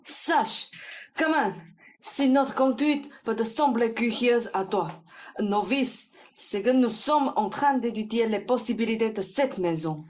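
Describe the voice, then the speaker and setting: A woman's voice: fairly high pitch, animated, unhurried, darkish tone. A young woman playing a pompous, theatrical older male swindler in a student radio play. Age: young adult.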